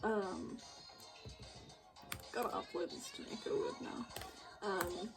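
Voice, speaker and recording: A woman's voice: mid-range voice, fairly even intonation, slow. A woman chatting casually and rambling as she works, giggly and self-deprecating. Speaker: streamer making visual novel artwork. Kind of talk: casual chat while working. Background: music playing behind the voice.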